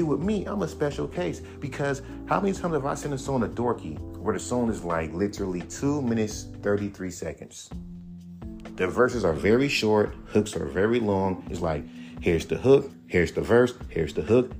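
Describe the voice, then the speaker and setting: A man hosting a podcast, ranting bluntly and profanely in a casual monologue, with a voice he calls monotone. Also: lowish voice, moderate pace. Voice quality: self-described monotone voice. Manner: blunt, profane, animated rant. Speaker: podcast host. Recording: music playing behind the voice.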